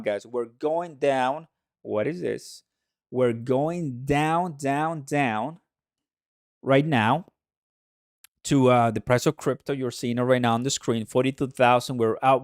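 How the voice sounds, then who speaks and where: Man, Mexican accent, native Spanish speaker, mid-pitched voice, dry close sound, excitable livestream host, repeats himself for emphasis. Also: dry recording. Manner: hype-driven, repeats phrases for emphasis. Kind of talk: excitable livestream commentary to viewers.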